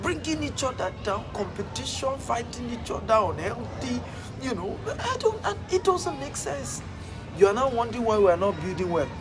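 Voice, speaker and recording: A high-voiced man with a Nigerian accent preaches his point with emphatic repetition, like a motivational speaker. Music plays clearly under his voice the whole time. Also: sing-song, moderate pace. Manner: emphatic, repeats phrases for effect. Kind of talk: motivational talk.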